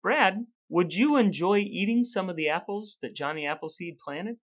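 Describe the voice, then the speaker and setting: A man's voice: high voice, expressive, moderate pace. A man co-hosting a casual podcast chat, playful, quizzing and joking with his co-host.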